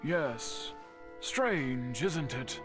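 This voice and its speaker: An actor playing a courteous older swordsmith and worried uncle in a game, with formal, deliberate, earnest speech. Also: male voice, mid-range voice, animated. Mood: puzzled, recollecting. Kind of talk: scripted game voice acting. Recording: faint game music behind the voice.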